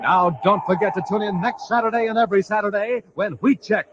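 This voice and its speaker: A man's voice: sits high, fairly even intonation, brisk. A male radio announcer with a dramatic, theatrical delivery in the style of a 1950s adventure serial. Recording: dull, noisy old radio transcription.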